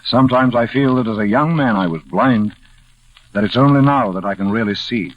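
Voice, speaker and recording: Casting: male actor as wise older blind man, husky voice, reassuring and measured, on a dull old radio recording. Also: medium pitch, natural voice. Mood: calm, reassuring. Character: gentle, philosophical older blind man, former pilot. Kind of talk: 1940s radio drama acting.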